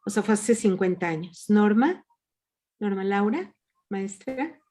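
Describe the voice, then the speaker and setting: A woman's voice: mid-range voice, animated, a little slow, warm tone. A woman leading an online workshop, patiently asking her group questions over a video call. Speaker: workshop leader.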